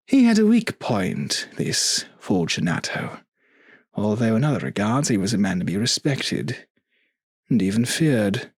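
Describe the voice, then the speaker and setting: A man narrating a gothic short story in a deliberate, theatrical style. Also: medium pitch, husky, no room sound, studio quality.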